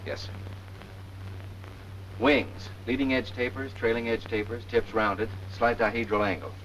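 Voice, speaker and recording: A man's voice: medium pitch, steady tone, unhurried, a bit bright, close to an everyday voice. A man acting an eager young American fighter pilot in a 1940s training film, earnest and respectful to his superior, on a noisy old soundtrack. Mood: attentive. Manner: deferential, earnest. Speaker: junior pilot answering a superior.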